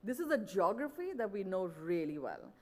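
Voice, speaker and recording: Woman speaker, persuasive stage pitch, mid-pitched, long hall reverb. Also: very animated, moderate pace, darkish tone. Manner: earnest, persuasive, with frequent "you know". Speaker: conference speaker. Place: large event hall.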